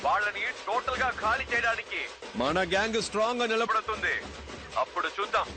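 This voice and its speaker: An actor playing a bold, defiant young gang leader, speaking in a cocky, taunting, slangy way. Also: male voice, high-pitched, fast talker, music in the background, close to an everyday voice. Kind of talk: film drama dialogue.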